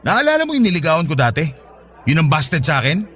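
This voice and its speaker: Young boyfriend, high male voice, evasive, irritable, defensive, radio drama, music underneath. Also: sing-song, fast talker, fairly bright tone. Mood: resolute, confessing. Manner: defensive, exasperated. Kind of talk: Tagalog radio drama acting.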